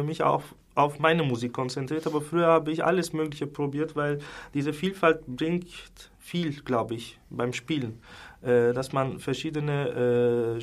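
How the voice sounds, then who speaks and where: A man in his thirties from Turkey, a native Turkish speaker with a Turkish accent, chatting as an interview guest and often repeating words as he searches for phrasing. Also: a little high. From Istanbul, Turkey. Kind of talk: casual interview chat. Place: radio studio.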